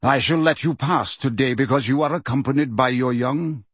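A man playing a calm, stern centaur leader. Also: medium pitch, no echo. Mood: cold. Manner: measured, smooth and stern. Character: proud male centaur leader, calm and cold.

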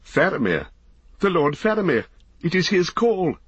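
A man's voice: medium pitch, fairly even intonation, a little slow, bright, forceful tone, breathy edge, no echo, highs cut off, voice pushed up. A man voicing a frightened, devoted guardsman who calls out urgently in a dramatic audiobook. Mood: anxious excitement. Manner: urgent, exclamatory. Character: frightened, devoted guardsman crying out from city walls. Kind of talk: dramatic audiobook character reading.

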